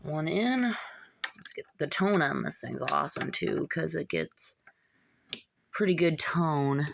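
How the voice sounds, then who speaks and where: Creaky-voiced hobbyist talking through a repair. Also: mid-range voice, animated, slow pace, slight background noise. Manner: informal, muttering asides while working. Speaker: hobbyist repairer showing a fix. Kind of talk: casual hands-on repair narration.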